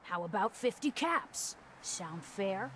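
A woman's voice: mid-range voice, lively intonation, slow pace, noisy background. Streetwise scavenger woman. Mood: businesslike. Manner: matter-of-fact, streetwise bargaining. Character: brisk, businesslike wasteland scavenger woman hiring mercenaries. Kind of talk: video game dialogue.

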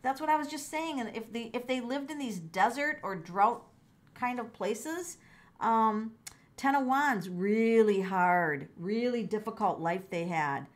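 A woman's voice: fairly high pitch, animated, unhurried. A middle-aged woman doing a casual tarot reading, musing aloud, exclaiming and repeating her phrases. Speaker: tarot reader.

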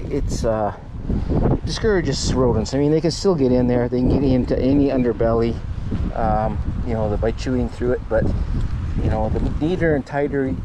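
A man hosting a casual hands-on repair tutorial, plain-spoken and folksy, with a halting delivery. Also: medium pitch, heavy background noise. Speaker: host of DIY renovation channel. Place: outdoors under a raised mobile home.

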